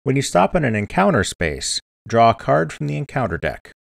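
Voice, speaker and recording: A man giving scripted instructional narration in a clear, methodical, step-by-step manner, as a tutorial presenter. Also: medium pitch, big pitch swings, moderate pace, no room sound, clean studio sound. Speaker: board game tutorial presenter.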